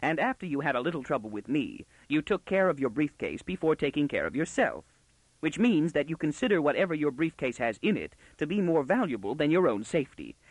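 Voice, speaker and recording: A man's voice: on the high side, no echo, pitched above the performer's own voice, poor audio. A man voicing a precocious, self-assured young girl in a storybook, prim, bossy and easily indignant.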